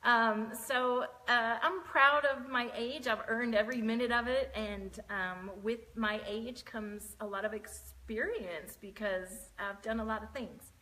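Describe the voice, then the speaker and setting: A woman's voice: fairly high pitch, fairly even intonation, measured pace, few pauses, sharp tone, poor audio. A woman, hosting a casual live stream, chatty and greeting viewers by name between many fillers. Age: 40s.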